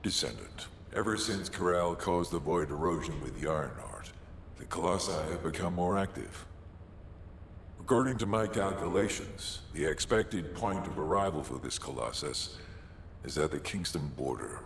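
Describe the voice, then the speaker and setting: An actor playing a grave, formal mission overseer in a game cutscene, speaking in a measured, solemn way. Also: male voice, low-pitched, roomy sound, a little hiss or hum. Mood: grave, urgent. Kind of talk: scripted game cutscene acting.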